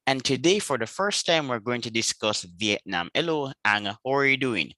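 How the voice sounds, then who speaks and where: A male host speaks in a bright, forward voice as he runs an interview. His pitch sits in the middle, and the sound is very dry and close, free of room echo. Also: animated, moderate pace. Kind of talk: interview questions.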